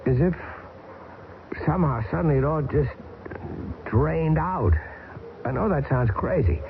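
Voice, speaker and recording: An actor playing a wry, joking bush pilot in a 1970s radio drama. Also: male voice, fairly high pitch, a little slow. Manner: wry, joking under strain. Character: wisecracking male American bush pilot, easygoing. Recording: tinny lo-fi old radio broadcast, hissy.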